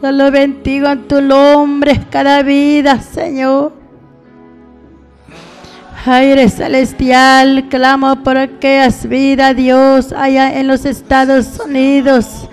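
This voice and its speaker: A woman with a very high voice leads a fervent intercessory prayer. She pleads slowly and evenly, breaking her prayer into short repeated appeals to God. Faint music plays behind her. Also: completely flat delivery. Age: middle-aged or older. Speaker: evangelical preacher praying on a radio programme.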